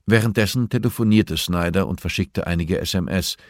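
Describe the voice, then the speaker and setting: A man's voice: low-pitched, brisk, no room sound, studio quality. A man reading a novel aloud as scripted audiobook narration.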